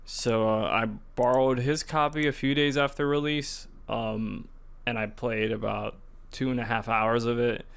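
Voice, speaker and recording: A man's voice: mid-range voice, steady tone, a little slow, gravelly, a bit bright, smooth. A man co-hosting a podcast, chatting casually in a hesitant, loosely rambling way. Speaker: podcast co-host. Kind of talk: casual chat about video games.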